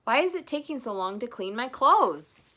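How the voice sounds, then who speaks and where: A grumpy old Santa Claus from a storybook , played by a woman, exasperated and loud. The voice is pushed up well above a relaxed speaking pitch. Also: moderate pace, exaggerated voice. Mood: impatient, grumpy.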